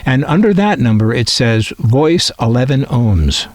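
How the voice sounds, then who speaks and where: A man's voice: medium pitch, very animated, unhurried, no echo. An American man from the Midwest narrating a scripted piece as a collector and presenter, with a wry, opinionated way of talking. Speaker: vintage radio collector and presenter.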